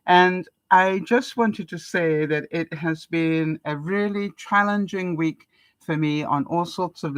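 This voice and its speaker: An older woman from Canada with a stuffed-up nose, hosting a casual live-stream chat and often pausing with ums and small laughs. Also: low voice, no echo. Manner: chatty, with frequent ums and soft laughs. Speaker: vlogger hosting a live broadcast.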